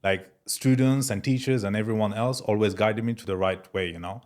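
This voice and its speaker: A young man from France talks in a low voice as a podcast guest. His manner is relaxed and casual, and he keeps dropping fillers like "bro" and "man" as he tells his story. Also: a little fast. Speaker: guest entrepreneur. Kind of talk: casual interview chat.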